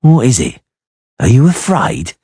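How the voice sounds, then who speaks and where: A man voicing a cold, menacing assassin who drawls extremely slowly, in an audiobook reading. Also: mid-range voice, wildly swooping pitch, warm tone, slightly rough, no echo, clean studio sound, pitched above the performer's own voice, exaggerated voice. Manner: slow drawl, sinister.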